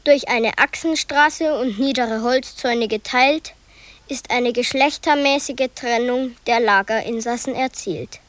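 A woman slowly and deliberately reading old planning texts in a documentary radio drama. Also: a little high, fairly bright tone, no room sound. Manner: slow, deliberate reading of names and plans.

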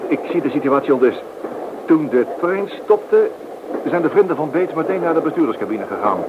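Brisk, resolute police inspector played by a man. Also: on the high side, expressive, natural voice. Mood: resolute, urgent. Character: resolute male police inspector, businesslike and persuasive. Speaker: actor in a radio play. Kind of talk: radio drama acting in Dutch. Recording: tinny, noisy old radio play recording.

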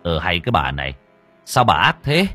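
Man acting a blunt, husky, indignant male bystander. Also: deep voice, big pitch swings, normal speed, bright, forceful tone, soft background music, pitched below the performer's own voice, full character voice. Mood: reproachful. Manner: reproachful, blunt. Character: indignant male bystander stepping in, decent and firm.

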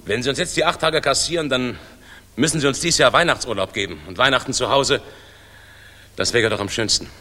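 A good-natured, reasonable flying officer, with a bright voice at medium pitch. It is old radio play acting, somewhat distant and reverberant. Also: male voice, a little fast. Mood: consoling, wistful. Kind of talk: 1960s German radio drama acting. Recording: reverberant, distant mono 1960s radio recording.

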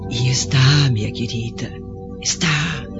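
A devoted Roman maidservant in a radio play, slow and tender, with a Brazilian accent. She sounds reverent and wistful, with clear music behind her. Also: rumbling low, muffled recording, full character voice. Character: devoted, tender female servant of a Roman house. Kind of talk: radio drama acting.

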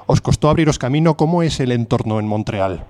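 A man's voice: mid-range voice, lively intonation, brisk, dark tone. A male radio host asking long, fluent interview questions.

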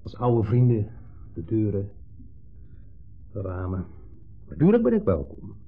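A smug ex-husband in an old radio drama, needling and theatrically jovial. His voice is husky and fairly low, with insinuation under the pleasantries. The recording is muffled and narrow, with faint music. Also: wildly swooping pitch, unhurried, exaggerated voice. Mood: insinuating, bitter. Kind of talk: 1970s Dutch radio drama acting.

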